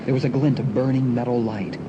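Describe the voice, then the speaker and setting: A man's voice: mid-range voice, steady tone, a little fast. A man narrating a scripted suspense story for a dramatised audiobook. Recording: dull lo-fi sound, clear background noise.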